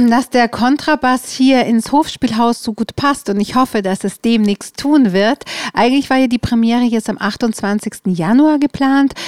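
A woman's voice: fairly high pitch, darkish tone, no room sound, clean studio sound. A woman hosting a podcast and interviewing a guest in a conversational style.

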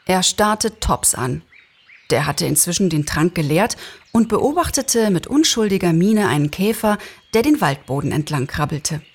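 A woman narrating a story in a lively, dramatized reading. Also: fairly low pitch, normal speed, breathy edge. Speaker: narrator and reader.

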